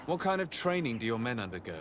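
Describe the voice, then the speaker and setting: Man playing male noble envoy, courteous, formal, probing, medium pitch, game acting, clear background noise. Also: very animated, fast, lightly acted. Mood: inquisitive. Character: polite, inquisitive male noble diplomat. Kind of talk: video game cutscene acting. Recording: outdoor ambient noise throughout.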